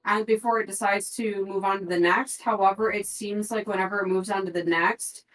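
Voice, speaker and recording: A woman's voice: mid-range voice, steady tone, a little fast, a bit bright. A woman playing a tabletop game, talking through clues and reading handouts aloud, sometimes stumbling over words. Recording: roomy echo, distant from the mic.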